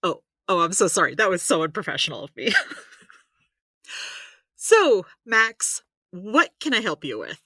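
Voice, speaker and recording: A woman's voice: lowish voice, very animated, unhurried, pauses often, pushed and bright, breathy edge, no room sound, clean studio sound, full character voice. A woman playing a wry, analytical supernatural healer who talks precisely and skeptically in an audio drama. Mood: apologetic, professional. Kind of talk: scripted audio drama dialogue.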